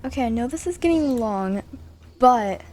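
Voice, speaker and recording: Higher-voiced woman casually commentating a game. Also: expressive, moderate pace, a little mellow. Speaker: gaming streamer. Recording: faint background noise. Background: video game sounds.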